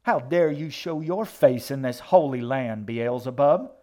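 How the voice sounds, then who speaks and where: A man voicing a fanatical, hate-filled old woman who preaches righteously in a loud, grating voice for a story. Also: medium pitch, expressive, a little slow. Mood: accusing. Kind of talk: audiobook character acting.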